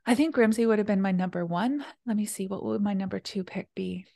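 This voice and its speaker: Female retreat host, casual and enthusiastic, gravelly voice, drifts into soft near-whispers. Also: medium pitch, fairly quick, no room sound, studio quality. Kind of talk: casual conversation.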